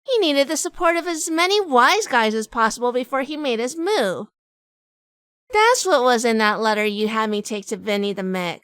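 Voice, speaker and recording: Woman playing a bright, sardonic gangster-drama wife. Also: on the high side, lively intonation, moderate pace, clear, resonant tone, no echo. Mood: knowing. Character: quick-witted, sharp-tongued wife among gangsters, also narrating. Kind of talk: hardboiled 1940s gangster audio drama acting.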